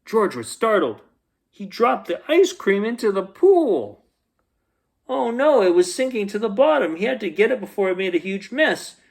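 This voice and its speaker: A man reading a children's bedtime story aloud, expressively, with dramatic emphasis and pauses. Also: high voice, sing-song, gravelly, darkish tone.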